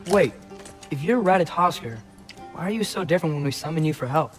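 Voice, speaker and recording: A man's voice: on the high side, expressive, fairly quick, faint music behind, natural voice. An actor playing an earnest, curious teenage boy in a video game, talking casually and a bit hesitantly. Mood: questioning. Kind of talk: cinematic video game acting.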